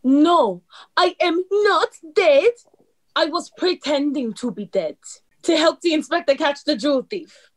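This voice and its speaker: A woman playing a poised, clever club performer in a radio play, explaining things with deliberate dramatic delivery. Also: high voice, lively intonation. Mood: triumphant explaining.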